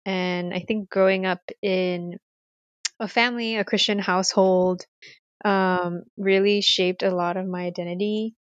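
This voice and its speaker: A woman in her thirties from Malaysia, a university dean, reflectively telling her life story in an interview with many pauses and ums. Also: medium pitch, fairly even intonation, clear, resonant tone, no room sound, highs cut off. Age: 30s. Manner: reflective, many ums, soft trailing phrases.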